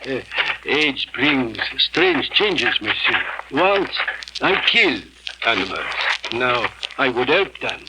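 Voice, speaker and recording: An actor playing a gentle, soft-spoken old French Canadian man, a former fur trapper, in an old-time radio drama. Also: medium pitch, very animated, takes it slowly. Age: older. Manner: soft-spoken, courteous, peppers speech with "monsieur". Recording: hissy old radio broadcast recording.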